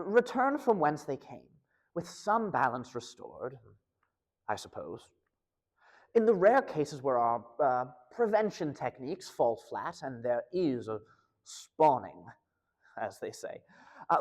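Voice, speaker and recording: Man acting halting government researcher, formal, dryly understated, higher-pitched, swinging intonation, long hall reverb, analog horror. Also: darkish tone. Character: halting, evasive male government research fellow explaining monster management. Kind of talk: archival interview in analog horror.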